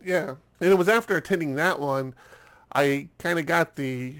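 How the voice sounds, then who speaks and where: A man's voice sits a touch high for him. He is stuffed up from a recent cold, and he talks in a loose, rambling way, stumbling over repeated words as he co-hosts a casual chat show. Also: moderate pace, fairly bright tone. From United States. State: congested, getting over a cold. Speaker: podcast co-host.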